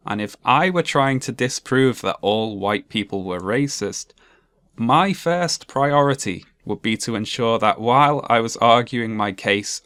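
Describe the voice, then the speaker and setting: A young man with a monotone, dryly sarcastic delivery, narrating a commentary video essay. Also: fairly low pitch, no echo.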